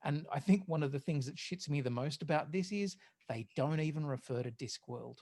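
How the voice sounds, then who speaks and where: A man's voice: on the high side, brisk, dark tone. A man co-hosting a podcast in casual, opinionated discussion of pop culture, swearing now and then. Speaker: podcast host.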